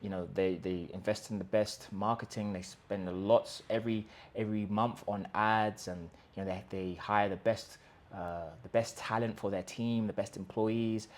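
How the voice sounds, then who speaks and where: A man hosting a language-learning channel, speaking straight to his viewers in an emphatic pitch full of rhetorical questions and quick restarts. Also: fairly even intonation. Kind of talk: direct-to-camera pitch talk. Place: in Colombia.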